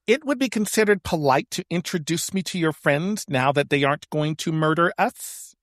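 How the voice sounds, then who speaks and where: A woman's voice: sits low, expressive, moderate pace, dry recording, clean studio sound. A woman playing a pompous, arch circus performer on an adventure, speaking grandly in an audio drama. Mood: arch, impatient. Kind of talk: audio drama character acting.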